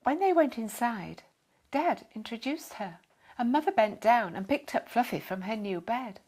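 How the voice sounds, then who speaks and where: Female storyteller for children's fiction: fairly high pitch, animated, expressive scripted delivery. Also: moderate pace. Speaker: story reader.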